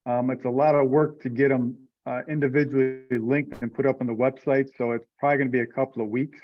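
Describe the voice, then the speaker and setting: A man's voice: mid-range voice, little pitch movement, fast, highs cut off. A man leading an informal meeting discussion over conference call audio.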